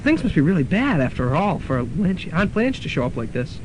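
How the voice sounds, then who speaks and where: A man's voice: fairly high pitch, very animated, fairly quick. A young man playing an earnest, slightly nervous college student in a student radio drama. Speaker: Lance. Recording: noisy old radio tape.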